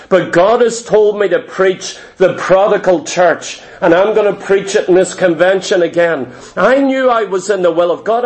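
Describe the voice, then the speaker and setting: A middle-aged Irish man preaching a personal testimony, telling his story earnestly in short, clipped phrases and often repeating himself. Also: high voice. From Ireland.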